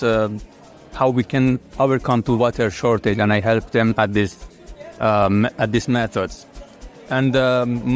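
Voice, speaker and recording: A man from Iran, a water engineer and program fellow, telling his story in a halting soundbite full of fillers and restarts. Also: mid-range voice. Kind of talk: recorded soundbite.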